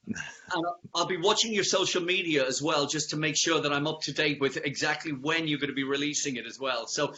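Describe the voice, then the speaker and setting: A man's voice: on the high side, a little fast, pushed and bright, dull audio, slight background noise. A man hosting a casual radio interview, chatty and effusive, asking rambling questions.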